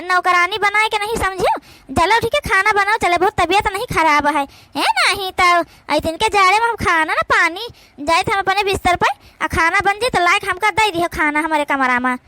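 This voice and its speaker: A woman's voice: very high-pitched, pushed and bright, no echo. A woman with an Awadhi accent voicing a bossy, defiant, haughty daughter-in-law in a cartoon. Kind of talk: amateur cartoon voice acting.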